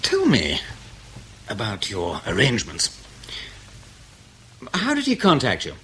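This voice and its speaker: An actor in radio drama playing an intelligence interrogator in his thirties, measured and probing, polite but insistent. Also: fairly low pitch, wildly swooping pitch, fairly bright tone, a little hiss or hum, exaggerated voice. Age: 30s.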